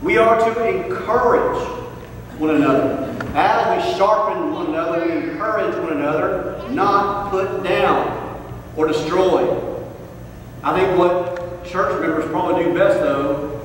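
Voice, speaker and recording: A man's voice: high voice, measured pace. A middle-aged man preaching a sermon from a pulpit, building through repeated lines, recorded from across a church sanctuary. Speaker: pastor. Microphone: far camera, pulpit microphone. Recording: echoey hall from far off, faint noise.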